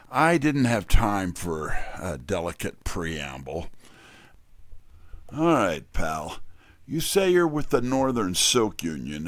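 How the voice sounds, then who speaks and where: A man's voice: low-pitched, fairly bright tone, dry recording, full character voice. A man playing a tough, wisecracking private-eye narrator in an audio drama, wry and slangy. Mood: wry, probing. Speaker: narrator and lead character. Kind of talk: first-person hardboiled detective narration and dialogue.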